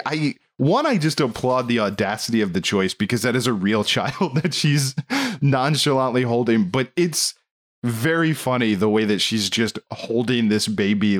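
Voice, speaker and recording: Animated man chatting about films. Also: medium pitch. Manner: animated, rambling, amused. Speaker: film discussion podcast host. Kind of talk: casual movie review chat.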